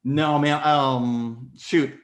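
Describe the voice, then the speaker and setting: A man in his forties telling his story as a podcast guest in casual conversation, animated and leaping up in pitch when excited. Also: extremely slow. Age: 40s. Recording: echoey room.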